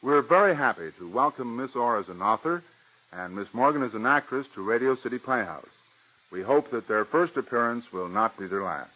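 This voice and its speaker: A man's voice: mid-range voice, animated, brisk. An American man giving a scripted introduction as director and host of a 1940s radio drama series. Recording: dull old radio recording.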